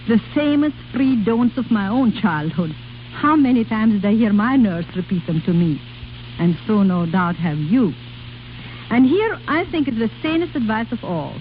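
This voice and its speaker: A woman giving a scripted guest talk on an old radio broadcast. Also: fairly high pitch. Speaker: guest speaker. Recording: tinny 1930s radio recording, hum and hiss.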